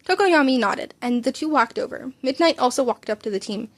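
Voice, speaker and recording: A woman's voice: high-pitched, expressive, fairly quick. A woman reading a story aloud in an amateur narration style, stumbling over and repeating words now and then. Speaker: fan fiction reader.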